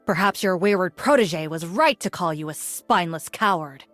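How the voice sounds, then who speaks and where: An actress playing a stern, ancient woman warrior in a video game, speaking in a formal, measured and solemn way. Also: lowish voice, expressive, a bit bright, no echo, soft background music. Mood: stern, scornful. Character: stern, ancient female spirit-realm warrior, solemn and judging. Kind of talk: scripted game character voice lines.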